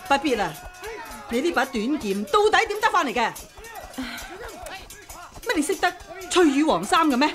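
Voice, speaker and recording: Older female martial arts master: impatient, brusque, lively swings, Hong Kong Cantonese, radio drama. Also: sits high, moderate pace, long pauses. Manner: blunt, brusque. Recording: radio drama with music behind.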